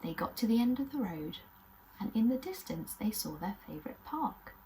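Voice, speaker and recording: A woman's voice: medium pitch, very animated, normal speed, dark tone, a little hiss or hum. A British woman presenting and narrating a children's story in a friendly, encouraging way. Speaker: children's puppet show host and storyteller.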